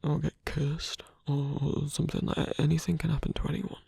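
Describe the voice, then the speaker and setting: A performer in an intimate ASMR roleplay, voicing a gentle, protective supernatural lover with a husky, breathy voice and a hesitant, earnest delivery. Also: mid-range voice, flat delivery, moderate pace, heavily acted. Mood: earnest, devoted.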